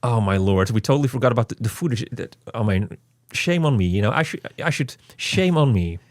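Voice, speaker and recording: A man's voice: medium pitch, expressive, a little fast, no echo, studio quality. A man co-hosting a casual game review chat, rambling and joking with many restarts. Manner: rambling, jokey, self-interrupting. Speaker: co-host of a game review show.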